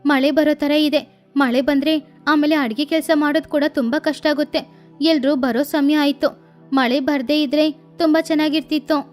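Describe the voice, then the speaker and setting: A woman's voice: high voice, steady tone, moderate pace, soft background music, close to an everyday voice. A woman voicing a worried mother sparrow who frets aloud while hosting a feast. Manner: worried, fretting.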